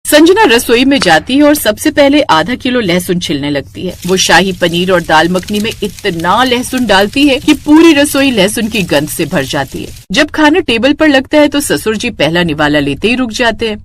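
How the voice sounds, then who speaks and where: A woman narrator tells a scripted moral story. Her pitch moves about a lot, giving the telling an animated, expressive flow. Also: normal speed, hardly any pauses, a little hiss or hum.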